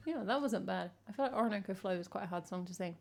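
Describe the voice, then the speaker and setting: A woman from England with a croaky voice, chatting casually with her live-stream chat as a singer-songwriter and teasing herself as she goes. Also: mid-range voice, fast, a little mellow, faint music behind. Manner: chatty, self-teasing, hums melody snippets.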